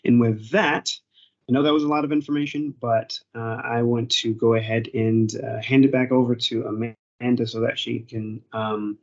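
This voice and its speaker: A man giving an informal software tutorial as a presenter, explaining step by step and often rephrasing himself. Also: medium pitch, little pitch movement, normal speed. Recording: dull, muffled online meeting audio.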